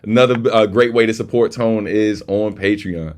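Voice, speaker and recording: A man with a deep voice hosting a casual livestream chat, laid-back and slangy, full of shout-outs. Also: normal speed. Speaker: livestream host.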